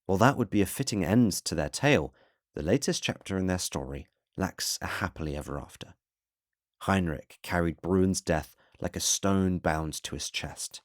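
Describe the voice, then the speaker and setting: A man reads a scripted fantasy bedtime story in a relaxing ASMR style, with a measured, solemn, literary delivery. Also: low voice, no room sound, clean studio sound. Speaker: storyteller, narrator.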